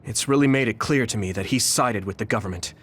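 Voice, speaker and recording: An actor playing an earnest, modest young male rebel soldier in an anime game, speaking in a measured way. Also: on the low side, fairly bright tone. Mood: somber, resolved. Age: young adult. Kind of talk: anime game cutscene dialogue. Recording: studio voice over game music.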